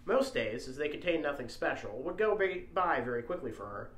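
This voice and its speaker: A man's voice: a little high, expressive, brisk, fairly bright tone. A man co-hosting a story read-along, shifting between casual chat and reading aloud. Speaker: co-host and reader. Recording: echoey, reverberant room sound.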